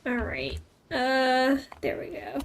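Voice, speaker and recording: Female game streamer: high-pitched, slow-paced, casual, playful live commentary. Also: sing-song, vocal fry, slight background noise. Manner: chatty, playful asides to viewers. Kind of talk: casual live gameplay chat. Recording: faint game music behind.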